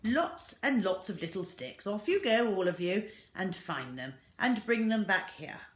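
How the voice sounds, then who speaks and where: A woman's voice: mid-range voice, sing-song, unhurried, echoey room, voice pushed up. A woman voicing a tiny bossy, can-do father in a children's story, emphatic and commanding.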